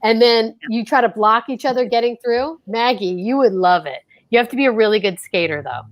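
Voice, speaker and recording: A woman with a fairly high voice hosts a live show. She is chatty and excitable, full of gushing exclamations. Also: a little fast. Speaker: host of a live radio-play show.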